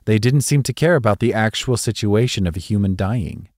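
A man narrating a written news article in a measured, formal, scripted read-aloud delivery. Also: lowish voice, animated, a little mellow, dry recording, clean studio sound.

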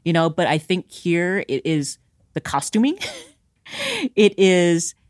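A woman co-hosting a casual film review chat, animated, laughing often and swearing casually. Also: lowish voice, slow pace, no echo, clean studio sound. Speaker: film podcast co-host.

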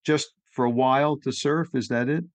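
A man's voice: medium pitch, fairly even intonation, normal speed, no room sound. A man from New York hosting a podcast interview, chatty and opinionated, asking leading questions. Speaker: podcast host.

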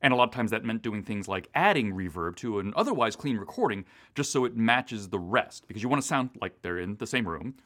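A man co-hosting a podcast as a director, explaining things in measured phrases in a conversational, loosely scripted style. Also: lowish voice, expressive, a bit bright. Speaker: audio drama director, co-host.

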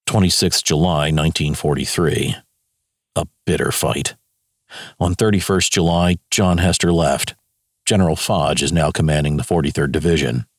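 A man's voice: very deep voice, no room sound, clean studio sound. A man with an American accent playing a stern wartime general, reading his logbook in clipped, grave military phrases. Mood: grim, resolute.

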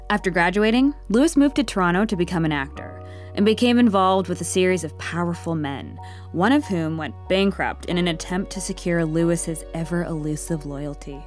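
A woman narrating an audio comedy as a wry, measured, all-knowing storyteller in a scripted style. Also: fairly low pitch, close to an everyday voice. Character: wry omniscient female storyteller.

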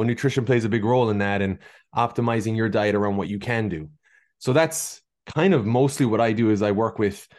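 Irish guy on a podcast, low voice, relaxed and friendly, tells stories. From Ireland. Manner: friendly storyteller, easygoing. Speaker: guest nutritionist. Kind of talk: casual podcast interview.

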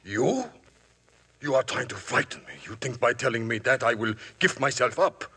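A man's voice: medium pitch, expressive, moderate pace, bright, forceful tone, breathy edge, exaggerated voice. An actor playing a frightened yet menacing man who taunts and sneers in a 1950s radio drama. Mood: taunting.

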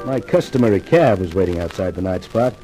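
A man's voice: low voice, lively intonation, a little fast, loud music behind the voice. A man playing a flippant, self-assured celebrity columnist in a 1950s radio detective drama, with a wisecracking manner. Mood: wry, breezy. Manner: wisecracking, cocky. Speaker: lead actor and first-person narrator. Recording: noisy old radio drama recording.

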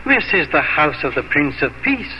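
A man's voice: fairly high pitch, sing-song, moderate pace, background noise. An actor playing a grave, kindly village priest who admonishes like a father, in a 1950s radio drama. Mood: reproving, solemn. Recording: dull old radio recording, music behind.

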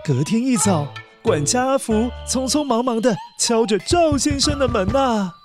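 A woman's voice: on the high side, animated, moderate pace. A woman narrating a children's story expressively, speaking directly to young listeners. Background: faint music.

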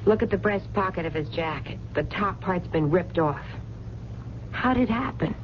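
An actress playing a soft-hearted, wisecracking working-class woman who runs a late-night diner in a radio drama, with blunt colloquial talk. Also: on the low side, animated, moderate pace, lightly acted. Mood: concerned. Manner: streetwise, colloquial, ungrammatical. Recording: dull, noisy vintage radio broadcast.

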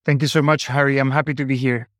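A man's voice: mid-range voice, flat delivery, fast talker, a bit bright, no room sound, clean studio sound. A man from Colombia with a Latin American Spanish accent, chatting as an interview guest on a podcast. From Colombia, grew up in Costa Rica. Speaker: podcast guest, company founder. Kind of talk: casual interview conversation.

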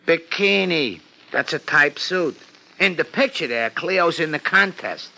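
A man's voice: a little high, lively intonation, measured pace, gravelly, bright, forceful tone, full character voice, poor audio. An actor playing an anxious, streetwise city florist in a 1950s radio detective drama, talking in slangy, ungrammatical tough-guy style. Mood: worried, proud.

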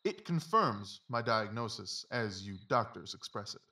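An actor playing a brisk, keen, self-assured male detective in a dramatised audiobook, with clipped, commanding speech. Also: lowish voice, expressive, sharp tone, heavily acted. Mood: brisk, absorbed.

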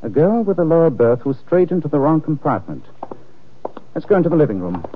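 A dull, muffled old radio recording carries a suave male sleuth from a 1940s radio drama. His voice is husky, with pitch that moves about freely. He is dry, ironic and nonchalant, never flustered. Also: very animated, brisk. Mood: cool, brisk. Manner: dry, ironic, nonchalant, hesitates with polite uhs. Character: suave, wry, unflappable male amateur detective.

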